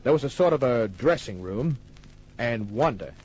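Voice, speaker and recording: An actor playing a tough private detective, narrating his case in clipped, wry, deadpan old-time radio style. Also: medium pitch, moderate pace, husky, muffled recording. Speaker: lead narrator.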